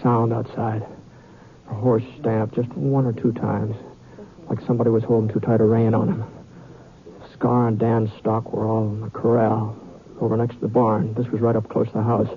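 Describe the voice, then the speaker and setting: An actor playing a seasoned, weary western gunman with a folksy, halting manner in an old-time radio drama. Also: on the low side, completely flat delivery, close to an everyday voice. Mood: tense narration. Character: seasoned male western gunman, weary and guarded, recounting his tale. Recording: muffled, hissy vintage radio recording.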